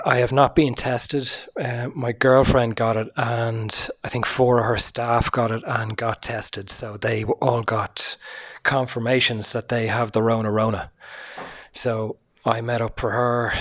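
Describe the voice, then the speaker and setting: Quiet, sick man with a hoarse voice. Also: mid-range voice, little pitch movement, measured pace. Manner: quiet and subdued, swearing, frequent fillers. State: sick, low energy, voice hoarse. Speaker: podcast host. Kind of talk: casual solo podcast monologue.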